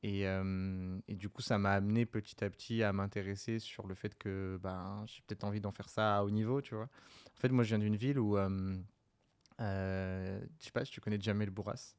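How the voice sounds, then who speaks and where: A young man in his twenties with a low, smooth, clear voice, telling stories in a loose, meandering way. His delivery stays even and hardly rises or falls. He comes from the Lyon area. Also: normal speed. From France, Lyon area. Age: 20s. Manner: relaxed, rambling storyteller with many fillers. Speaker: sprinter guest. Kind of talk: casual interview chat.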